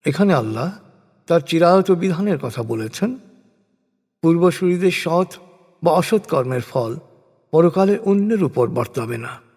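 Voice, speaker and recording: A man giving a solemn, measured reading of a translated scripture, phrase by deliberate phrase. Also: a little high, expressive, darkish tone, breathy edge. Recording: long cavernous reverb.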